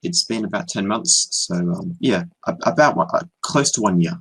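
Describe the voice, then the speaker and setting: A young Australian man on a video call, tutoring in a relaxed, hesitant way with many ums and restarts. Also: low voice, moderate pace, vocal fry, slightly rough, rough recording. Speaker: online English tutor. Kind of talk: casual video-call conversation.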